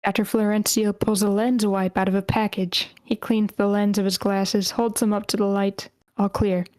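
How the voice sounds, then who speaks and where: A woman's voice: medium pitch, flat delivery, normal speed, a little mellow, dry recording. A woman reading stage directions aloud as the narrator at a screenplay table read, in short clipped phrases.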